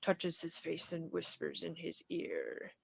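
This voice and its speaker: A woman narrating a group comic reading, describing scenes live in a halting way. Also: low-pitched, big pitch swings, creaky, husky, no room sound.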